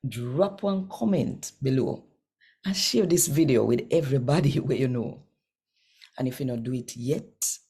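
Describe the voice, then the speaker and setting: A woman's voice: extremely low pitch, dark tone, slightly rough. A woman with a Jamaican accent, hosting and reading scripture aloud in a devotional style. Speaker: host reading daily scripture.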